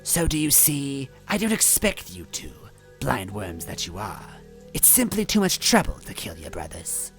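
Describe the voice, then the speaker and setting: A woman's voice: extremely low pitch, sing-song, measured pace, faint music behind, voice pushed up, full character voice. A woman voicing a hideous, gleefully cruel bean witch in a storybook reading, taunting with a theatrical sneer. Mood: hissing menace.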